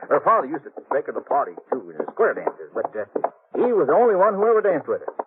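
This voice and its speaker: An actor playing a cocksure, folksy Old West sheriff with an American accent in a radio Western. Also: male voice, fairly high pitch, wildly swooping pitch, fast. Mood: mocking. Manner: folksy, mocking, chuckles often. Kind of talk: 1950s Western radio acting. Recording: tinny, noisy old radio recording.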